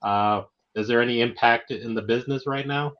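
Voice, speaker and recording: A man's voice: mid-range voice, a little fast. Male interviewer, dull lo-fi sound. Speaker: interviewer, show host. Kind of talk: casual interview chat. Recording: dull lo-fi online audio.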